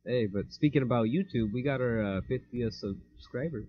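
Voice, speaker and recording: A man's voice: medium pitch, normal speed, dry recording. Rambling young man, dull lo-fi mic. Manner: rambling, laughs easily, many fillers. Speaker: podcast co-host. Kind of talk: casual chat.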